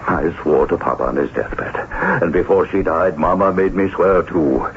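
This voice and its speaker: Gruff, deep-voiced bossy banker. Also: male voice, moderate pace. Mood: irritated, sarcastic. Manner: gruff, sarcastic, commanding. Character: exasperated, domineering banker, bossy brother. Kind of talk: old-time radio drama acting. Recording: muffled, lightly noisy radio drama recording.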